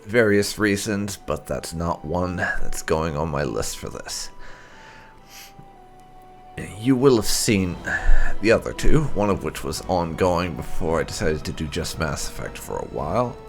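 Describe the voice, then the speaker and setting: Low, creaky man with lively intonation. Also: measured pace, soft background music. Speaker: host of a gaming channel. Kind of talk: let's play introduction, casual commentary.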